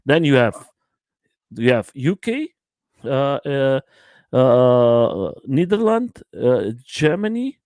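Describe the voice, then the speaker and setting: Hesitant man from Portugal, halting English. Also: medium pitch, sing-song, a little mellow, no echo. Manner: halting, searching for words, many fillers. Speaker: guest. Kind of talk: casual live stream chat.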